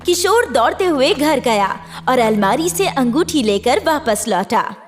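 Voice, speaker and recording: A woman's voice: sits high, sing-song, measured pace. A woman narrating a scripted moral story for a children's cartoon.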